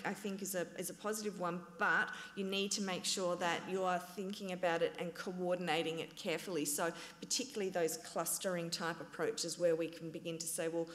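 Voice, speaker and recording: A woman giving a conference talk in a relaxed, hedging way, with frequent "um" and "I guess". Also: on the low side, reverberant, big-room sound. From Australia. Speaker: conference presenter.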